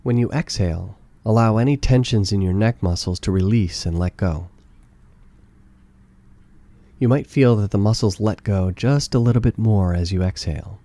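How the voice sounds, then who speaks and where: A man narrating a guided meditation with calm, gentle, soothing instructions. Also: fairly low pitch, fairly quick, few pauses, dry recording.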